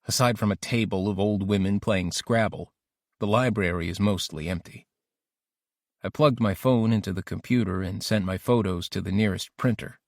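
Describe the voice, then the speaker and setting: A man's voice: on the low side, normal speed, gravelly, darkish tone, no room sound, studio quality. A man narrating an audiobook diary as an ambitious, lovesick teenage boy, introspective and intense. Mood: brooding. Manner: introspective, self-questioning, intense.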